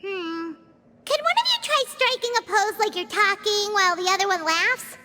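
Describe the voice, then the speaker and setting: A woman voicing a tiny, childlike, excitable fairy companion in a video game, with a squeaky, exclamatory delivery. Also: normal speed, noisy background. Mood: puzzled, doubtful. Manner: refers to self in third person, exclamatory.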